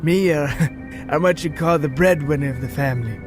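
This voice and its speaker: A man voicing a huge, gruff tattooed union man with a deadpan, halting manner in a comic audio story. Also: fairly high pitch, music in the background, natural voice.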